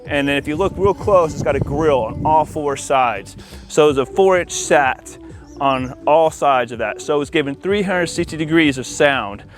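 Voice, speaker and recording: A man's voice: on the high side, moderate pace. Casual man presenting outdoors over music. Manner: informal, conversational, addressing viewers as guys. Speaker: audio-video installer presenting for company channel. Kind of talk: casual product showcase vlog. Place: outdoors in a backyard by a pool.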